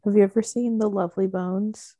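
A young woman in laid-back casual chat, reacting slowly and giggling often. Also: medium pitch, steady tone, dark tone, no echo.

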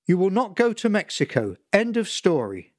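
A man playing an angry, lecturing father who talks slowly and emphatically. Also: sits high, wildly swooping pitch, no echo, clean studio sound, pitched above the performer's own voice. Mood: stern lecturing. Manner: emphatic, slow and pompous.

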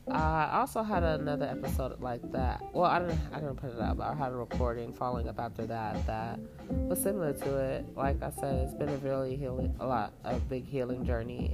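Woman, very deep voice, podcast host, casual rambling chat, stuffy nose, lo-fi music in the background. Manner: rambling, filler-heavy, swears casually. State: stuffy from allergies. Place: home, laundry dryer running. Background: lo-fi music playing under the voice.

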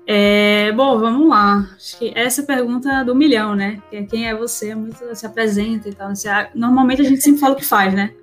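A woman's voice: a little high, fairly quick, hardly any pauses, soft background music. A woman with a Northeastern Brazilian accent chatting casually as an interview guest, informal and full of tag questions. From Recife, northeastern Brazil. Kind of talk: casual chat.

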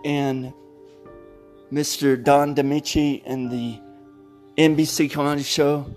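Male podcast host, unhurried, slightly high voice, soft music behind. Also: little pitch movement. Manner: slow, deliberate announcing of upcoming shows. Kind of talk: casual podcast outro.

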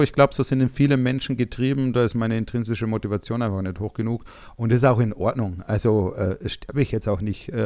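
A man's voice: medium pitch, a little mellow, no echo, clean studio sound. A man with a German accent chatting as a podcast co-host in a colloquial, blunt, rambling way. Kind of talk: casual podcast chat.

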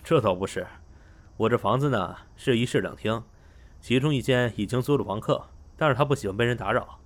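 A man acting a brisk, matter-of-fact landlord in an audio drama. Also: mid-range voice, lightly acted. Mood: businesslike.

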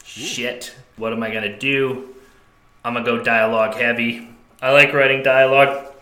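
Bright-voiced joking podcast host. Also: male voice, medium pitch, normal speed, echoey room. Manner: joking, laughing, deadpan bits. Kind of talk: casual comedic podcast chat.

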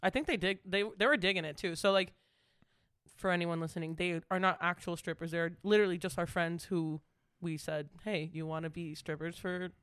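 A young woman co-hosting a podcast, chatting casually in a relaxed, rambling way. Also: fairly low pitch, brisk, smooth, dry recording, clean studio sound. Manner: relaxed, chatty, hesitant with fillers. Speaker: podcast co-host. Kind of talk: casual chat.

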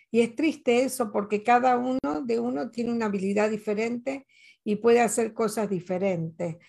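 A woman's voice: medium pitch, vocal fry, a little mellow. An older Latin American woman talking in a casual conversation, earnest and reflective, telling personal stories. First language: Spanish.

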